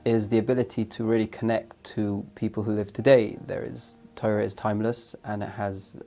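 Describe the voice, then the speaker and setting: A young man with a fairly low voice talks earnestly about his training. He reflects as he goes and restarts his phrases now and then. Soft music plays behind him. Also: flat delivery, brisk. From London, England. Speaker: teacher trainee. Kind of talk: testimonial interview.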